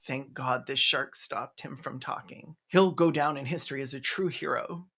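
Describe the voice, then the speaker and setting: Sarcastic video essayist recapping a bad film, mid pitch, deadpan asides then mock drama. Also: normal speed, very noisy. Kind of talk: sarcastic comedic movie recap commentary.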